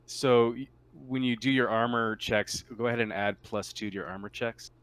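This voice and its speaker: A man's voice: medium pitch, fairly even intonation, moderate pace, fairly bright tone, clean tone. A man acting as game master, casually running and narrating a tabletop roleplaying game.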